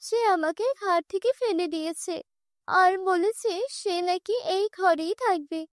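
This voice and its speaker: A woman's voice: very high-pitched, unhurried, no echo, clean studio sound, pitched above the performer's own voice. A woman voicing an anxious young daughter-in-law in a cartoon horror tale, earnest and pleading.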